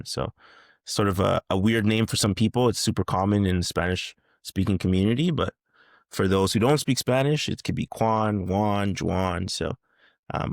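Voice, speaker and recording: A man's voice: sits low, creaky, no room sound, clean studio sound. A man in his thirties from Canada, speaking as a guest in a casual interview, hesitant with many fillers and restarts. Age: 30s.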